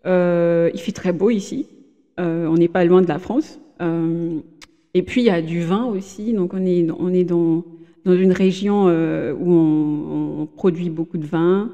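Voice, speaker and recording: A woman in her thirties talks as a podcast guest in relaxed conversation. Her voice is warm and mellow, and she hesitates often, restarting her sentences. A long reverb trails behind her words. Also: on the low side, a little slow, lo-fi sound. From Benin. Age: 30s. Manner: hesitant, many fillers and restarts. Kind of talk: casual interview chat. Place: room in a home in Germany.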